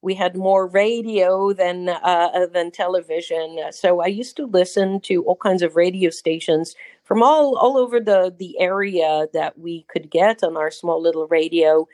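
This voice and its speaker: A woman's voice: lowish voice, fairly even intonation, measured pace, warm tone, no room sound. A middle-aged woman from Israel with an Israeli accent, a rabbi and cantor answering an interview in an effusive, conversational way with frequent restarts.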